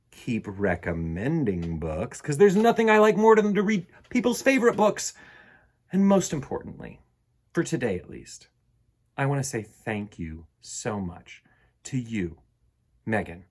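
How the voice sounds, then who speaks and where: A man hosting a children's storytime, reading and talking in a theatrical, expressive way with dramatic pauses. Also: fairly high pitch. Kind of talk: animated storybook narration for children.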